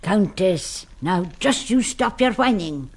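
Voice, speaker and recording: Creaky, craggy old Scotswoman. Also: medium pitch, expressive, takes it slowly, a little mellow. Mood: scolding. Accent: Scottish. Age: older. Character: craggy, austere old Scots servant woman, bossy nanny. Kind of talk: 1970s radio drama acting.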